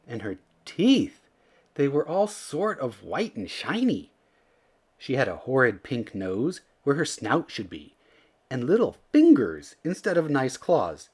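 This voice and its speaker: A male storyteller reads a children's book aloud with a highly animated delivery. His pitch moves freely around a middle register, and his phrasing is theatrical and expressive. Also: slightly rough. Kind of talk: animated read-aloud narration.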